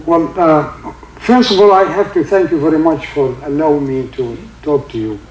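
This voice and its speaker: An older man with a heavy Egyptian accent, a native Arabic speaker, giving an informal religious talk in halting English with many fillers and recited Arabic verses. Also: fairly high pitch, a little mellow, roomy sound, slight background noise, poor audio. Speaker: religious teacher. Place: indoor room with carpeted seating.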